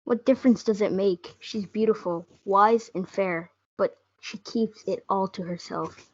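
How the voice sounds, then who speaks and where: A woman's voice: medium pitch, measured pace, close to an everyday voice. A female student performer playing a lovesick, gloomy young man in a reader's theatre play. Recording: dull, muffled lo-fi audio.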